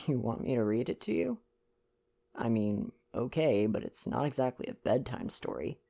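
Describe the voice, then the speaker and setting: Gentle young man with a creaky, mellow voice. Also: mid-range voice, moderate pace. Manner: gentle, playful, affectionate. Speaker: ASMR roleplay performer and reader. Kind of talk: intimate ASMR boyfriend roleplay, casual chat and novel reading.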